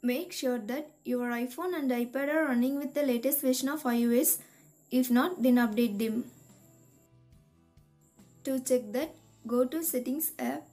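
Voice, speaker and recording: A woman reading a scripted step-by-step tech tutorial in a measured, instructional manner. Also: high voice, warm tone. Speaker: tutorial narrator. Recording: voice-over with music behind. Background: background music.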